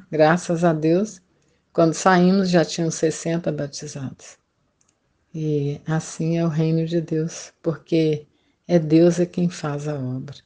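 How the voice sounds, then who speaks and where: A woman's voice: deep voice, mellow. An older woman with a Brazilian accent, a retired missionary telling her story conversationally as a podcast guest. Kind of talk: personal testimony, conversational storytelling.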